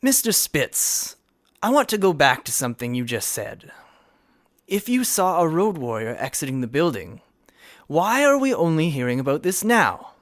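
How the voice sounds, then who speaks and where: A man acting as an earnest hotshot prosecutor in a courtroom comedy. He questions formally with exasperation, his pitch moving widely, recorded dry with no room sound. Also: big pitch swings, normal speed, a bit bright, no room sound. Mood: incredulous.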